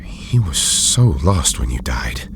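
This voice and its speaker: An actor playing an erudite, weary but loyal scientist in an audio drama, speaking in formal, deliberate phrases. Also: male voice, extremely low pitch, very animated, noisy background, full character voice. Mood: grave.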